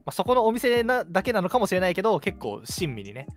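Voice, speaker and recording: Male, 20s, casual radio co-host, quick and animated delivery, light music behind. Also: high voice. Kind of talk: casual chat. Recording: music playing behind the talk.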